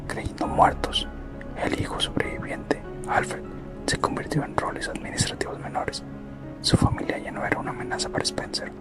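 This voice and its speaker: A person whispering a scripted ASMR roleplay narration in a slow, deliberate way, as a corporate recruiter. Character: corporate recruiter recounting company history. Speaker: ASMR content creator. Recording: close whisper over loud background music. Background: music.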